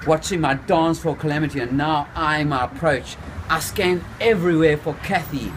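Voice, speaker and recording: A man reading his own novel aloud in the first person, unhurried, lingering on phrases and letting the pace drift between slow and quick. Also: a little high. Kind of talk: first-person literary narration. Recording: steady background noise with passing traffic.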